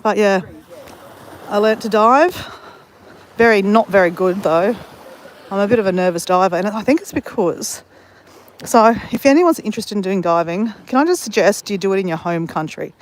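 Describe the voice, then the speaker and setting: A chatty Australian woman talks into her phone as she strolls along a beach. Her pitch sits mid-range and she rambles, often stopping to correct herself. Faint outdoor noise hums in the background. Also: normal speed. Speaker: vlogger. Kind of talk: casual walking chat.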